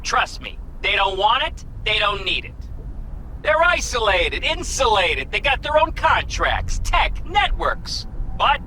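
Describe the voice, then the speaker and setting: A high, sharp-voiced flamboyant fixer. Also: male voice, very animated, slow pace, slight background noise, exaggerated voice, low-quality recording. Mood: exasperated, cajoling. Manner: theatrical, garrulous, slangy. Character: flamboyant, wheeling-dealing underworld fixer man. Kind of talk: animated video game acting.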